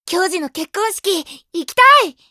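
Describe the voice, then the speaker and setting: Very high, childlike boy idol. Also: dry recording, clean studio sound. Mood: delighted. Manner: childlike halting broken phrases. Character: innocent, cheerful teenage boy idol, affectionate foreigner. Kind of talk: anime game voice acting.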